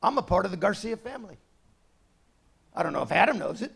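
Gravelly high-voiced male preacher. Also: very animated, quick pace. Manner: conversational preaching, swings from quiet asides to loud emphatic points. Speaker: pastor. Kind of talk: sermon.

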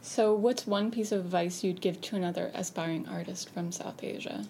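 A woman's voice: lowish voice, normal speed, dark tone. A woman interviewing an artist, asking short, plain questions. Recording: faint background noise.

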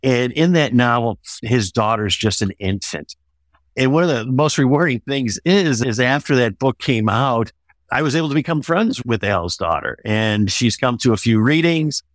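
A man's voice: medium pitch, expressive, moderate pace, no room sound. Male author in a dry, close interview. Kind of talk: interview conversation about own writing.

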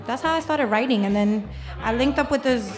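A woman's voice: on the high side, brisk. Woman dj from puerto rico, easygoing, music going behind her. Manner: relaxed, playful. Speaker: DJ guest. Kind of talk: casual radio interview. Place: storefront music bar.

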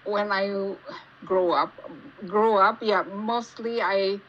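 A woman with an Indonesian accent giving casual interview answers, haltingly searching for words as she goes. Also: medium pitch. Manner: halting, searching for words in non-native English. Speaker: podcast guest, geologist. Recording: dull lo-fi call with faint noise.

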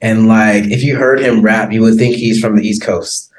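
A man's voice: fairly low pitch, fairly even intonation, moderate pace. Chatty American man in an echoey room. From United States. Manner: chatty, laughs often, many fillers. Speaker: interview guest. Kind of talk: casual conversation.

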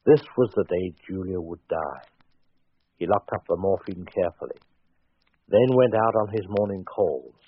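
A man narrating a 1940s radio suspense story in a wry, deliberate, dramatic way. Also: on the low side, slightly rough, dry recording. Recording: dull 1940s radio recording, faint music.

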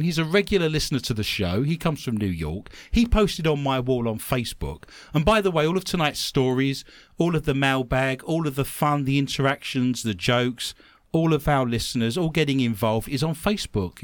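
An English man from London hosts a radio talk show in a casual, chatty way. His voice is mid-pitched but leaps up and down with lots of life, and he laughs easily. The sound is dry and close, with no room echo. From London, England. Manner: chatty and animated, often laughing.

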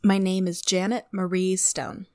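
An actress playing an earnest young woman applying to a space program in an audio drama, with formal, measured answers. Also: mid-range voice, level tone, dark tone, no room sound, natural voice.

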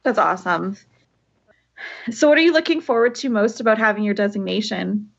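A woman's voice: mid-range voice, brisk, creaky, dull audio. A woman hosting a podcast from home, friendly and chatty with many fillers. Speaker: podcast host. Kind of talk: casual remote interview. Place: her home. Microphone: remote call from home.

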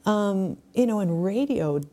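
A woman's voice: medium pitch, expressive, unhurried, dark tone. A middle-aged woman hosting a podcast, talking conversationally and reflectively between her questions. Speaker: podcast host, spiritual director. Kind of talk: conversational interview with reflective asides.

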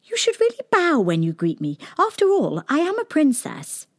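A woman's voice: wildly swooping pitch, moderate pace. A woman voicing a spoiled, bossy young princess girl in a storybook. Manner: high, imperious, pleading when upset.

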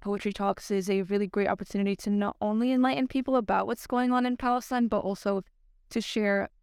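A woman's voice: medium pitch, steady tone, fairly quick, darkish tone, clean tone, dry recording, clean studio sound. A young American woman who is a student guest on a podcast, talking in an articulate and earnest way. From United States. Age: young adult. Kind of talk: podcast guest chat and poetry reading.

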